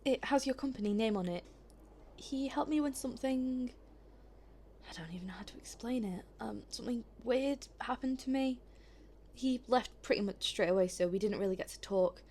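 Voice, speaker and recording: A woman's voice: a little high, moderate pace, mellow, a little hiss or hum, lightly acted. A woman acting a polite, hesitant and sceptical visitor in a naturalistic audio drama, rambling a little awkwardly. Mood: polite, uncertain.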